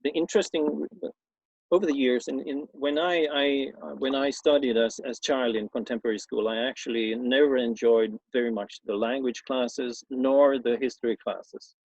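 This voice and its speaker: A man's voice: medium pitch, fairly even intonation, a little mellow, highs cut off. A man from Sweden with a Swedish accent, a native Swedish speaker and former schoolteacher, giving an informal explanatory talk in a hesitant way, full of fillers and restarts. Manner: hesitant, halting with many fillers and repeated restarts.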